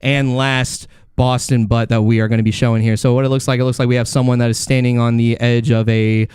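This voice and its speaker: A man's voice: medium pitch, flat delivery, a little fast, darkish tone, clean tone, no room sound, clean studio sound. A man hosting a bantering comedy game show on a podcast, with crude, profane, jokey talk.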